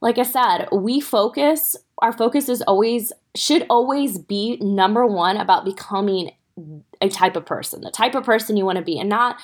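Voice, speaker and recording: A woman's voice: mid-range voice, moderate pace. A woman hosting a fitness podcast for mothers, sounding a little stuffy, talking casually and straight to her listeners with lots of "right?" asides. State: stuffy from a sore throat. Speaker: podcast host and fitness coach. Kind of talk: casual motivational podcast talk.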